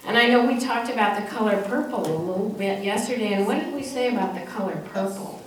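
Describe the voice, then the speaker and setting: A woman's voice: medium pitch, quick pace, crowd noise. A woman speaks simply and encouragingly as she leads a children's message at church, asking questions and affirming answers. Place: church, during a service. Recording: echoey church, distant mic, faint noise.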